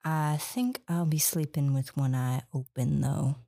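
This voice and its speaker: A woman's voice: low-pitched, fairly even intonation, measured pace, creaky, warm tone, full character voice. A woman playing a shaken but questioning young Wild West survivor in an audio drama. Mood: shaken, wary. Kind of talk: amateur audio drama acting and narration.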